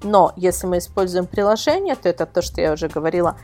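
A woman's voice: lowish voice, lively intonation, quick pace, mellow, faint music behind. A woman speaking as a guest expert in a casual podcast interview, chatty and colloquial as she explains things. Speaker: guest expert, portal editor. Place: remote video call.

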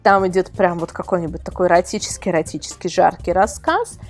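A woman's voice: lowish voice, steady tone, fast, darkish tone, soft background music. A woman speaking as a guest expert in a casual interview, explaining things and often searching for words. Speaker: guest expert, web portal editor.

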